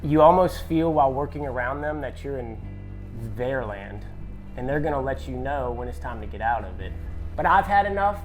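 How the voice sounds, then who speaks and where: A woman's voice: a bass voice, lively intonation, moderate pace, music in the background, poor audio. A woman from Louisiana speaking out in plain, defiant, impassioned testimony. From Louisiana, United States.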